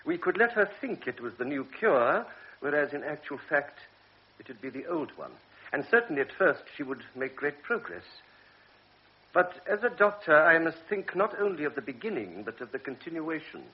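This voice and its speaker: An actor playing a grave older doctor, speaking in a measured, lecturing way in a radio drama. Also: male voice, a little high, very animated. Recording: dull old radio recording with faint hiss.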